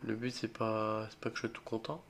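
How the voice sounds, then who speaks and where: A young man with a cold, voice a bit rough, joking and getting spooked as he plays a horror game for his channel. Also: lowish voice, level tone, a little fast, a little hiss or hum. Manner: jokey, easily spooked, mutters reactions and swears. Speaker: gaming video creator. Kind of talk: solo horror game let's play commentary.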